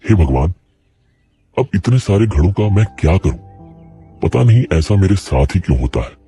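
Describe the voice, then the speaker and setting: A man's voice: low voice, sing-song, moderate pace, warm tone. A man voicing a poor, worried village potter in a cartoon story. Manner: worried, plain-spoken. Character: poor struggling middle-aged male potter. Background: faint music.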